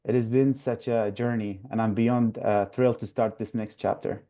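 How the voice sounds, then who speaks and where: Young guy from iraq, earnest, lots of uh and like, mellow warm tone. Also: fairly low pitch, steady tone, normal speed. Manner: earnest, many "uh" and "like" fillers. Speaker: guest, newly matched resident. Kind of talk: interview answers.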